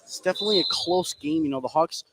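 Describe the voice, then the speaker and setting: A man working as color commentator on a live high school basketball broadcast, giving conversational analysis between plays. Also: fast talker, background noise.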